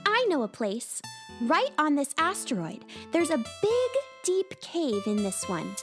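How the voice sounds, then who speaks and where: A woman playing a curious, friendly little space-travelling girl in a children's radio drama, polite and expressive. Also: high voice, measured pace, music in the background, full character voice. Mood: urgent, helpful.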